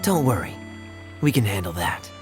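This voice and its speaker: A man voicing an easygoing, teasing young master thief in a video game, relaxed and reassuring. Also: fairly low pitch, moderate pace. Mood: reassuring, playful. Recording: music behind the voice.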